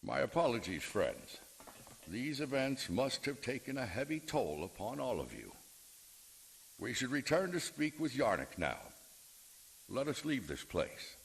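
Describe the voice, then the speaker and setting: A male actor playing a solemn, courteous and devout ally in a video game, speaking formally and gently. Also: medium pitch, very animated, measured pace, creaky, rough recording. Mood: gentle. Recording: game audio with loud background noise.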